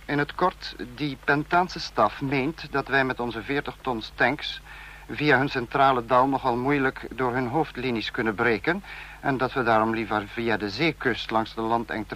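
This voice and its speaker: Male supreme leader of a police state, played by a man, medium pitch, clipped and imperious, old radio drama. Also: moderate pace, fairly bright tone, lightly acted. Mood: stern, businesslike. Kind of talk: old radio play acting.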